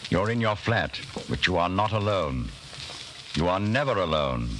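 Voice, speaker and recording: An ominous narrator of a dystopian radio play from the 1940s. His lowish voice is dramatic and deliberate with sweeping intonation, on a clearly noisy old recording. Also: sing-song. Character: ominous second-person narrator of a dystopian tale.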